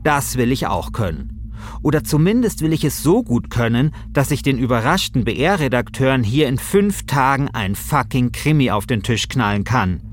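A man's voice: medium pitch, sing-song, moderate pace. A man co-hosting a podcast, talking informally in casual chat and narration. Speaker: podcast co-host.

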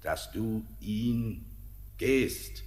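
An actor playing an eerie old spirit who guides a sailor into the mine, speaking slowly and commandingly in a classic radio play. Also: male voice, on the low side, darkish tone, in a large hall.

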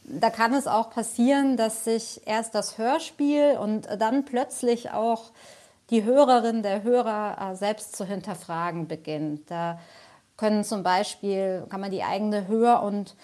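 Reflective woman with halting phrasing. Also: mid-range voice, mellow. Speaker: jury member, interview guest. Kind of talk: reflective spoken interview answers.

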